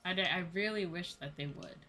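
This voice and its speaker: A woman's voice: lowish voice, a little fast, bright, forceful tone, a little hiss or hum. A woman streamer talking casually and conversationally in a livestream chat. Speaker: VTuber streamer.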